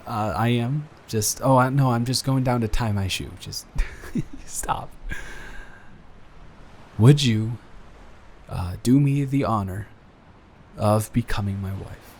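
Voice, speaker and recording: A man playing a sweet, nervous boyfriend in an improvised intimate roleplay audio, speaking in a halting, earnest, tender way. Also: mid-range voice, a little mellow, a little hiss or hum. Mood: nervous, giddy. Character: sweet, smitten, nervous boyfriend proposing to partner.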